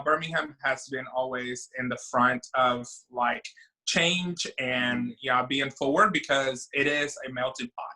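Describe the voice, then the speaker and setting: A man's voice: medium pitch, pushed and bright, low-quality recording. A man, chatting as a guest in an interview, talkative with many fillers and restarts. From Venezuela. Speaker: drag queen and event producer. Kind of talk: casual interview chat.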